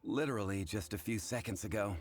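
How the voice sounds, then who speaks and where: A man's voice: fairly low pitch, fairly quick, faint music behind, close to an everyday voice. An actor playing a polite, harried male tavern keeper in an anime-style video game, with a businesslike manner. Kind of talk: English anime game voice acting.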